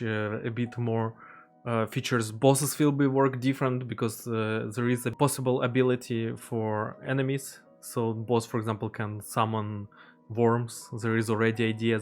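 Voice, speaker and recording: A man who is not a native English speaker, an indie game developer talking through his game in a casual devlog voice-over, halting often with fillers and restarts. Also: mid-range voice.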